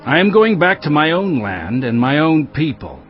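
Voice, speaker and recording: Stubborn Midianite, wildly swooping intonation. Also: male voice, on the high side, sing-song, moderate pace. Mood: firm, refusing. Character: Hobab, firm, stubborn Midianite man. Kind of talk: dramatized audio Bible acting. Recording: dull lo-fi sound with music.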